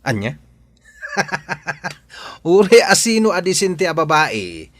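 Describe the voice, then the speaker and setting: Guy doing a curious playful village man, audio drama, highish voice that jumps around a lot, dry sound. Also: big pitch swings, takes it slowly, dry recording, exaggerated voice. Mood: curious, amused. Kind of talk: Ilocano audio drama acting.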